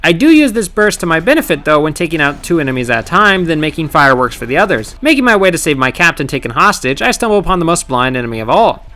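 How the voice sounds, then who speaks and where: A man narrating his own gameplay challenge in scripted voice-over, wry and sarcastic with playful dramatic pauses. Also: fairly high pitch, big pitch swings, pushed and bright. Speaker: gaming channel host. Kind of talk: scripted comedic game commentary narration.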